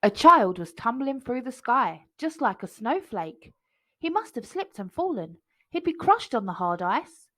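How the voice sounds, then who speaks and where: Animated, higher-pitched woman storyteller. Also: a little slow. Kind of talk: expressive children's story read-aloud.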